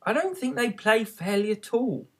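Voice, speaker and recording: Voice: medium pitch, sing-song, moderate pace, pitched above the performer's own voice. A storybook reader voicing a curious, polite young girl who complains earnestly. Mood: complaining, excited.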